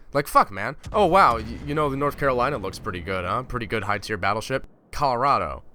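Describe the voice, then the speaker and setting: A man's voice: medium pitch, sharp tone, smooth. A man giving casual, ranting commentary as a gaming YouTuber, blunt and profane, with stumbling repeats as he talks.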